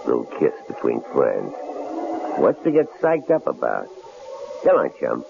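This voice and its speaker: An actor playing a gruff, menacing murderous drifter in a radio drama, domineering and mocking, with a drawling, slangy way of talking. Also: male voice, rumbling low, huge pitch swings, unhurried, full character voice. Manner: taunting, commanding, drawling folksy slang. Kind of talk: 1970s radio drama acting. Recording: noisy, lo-fi 1970s radio broadcast.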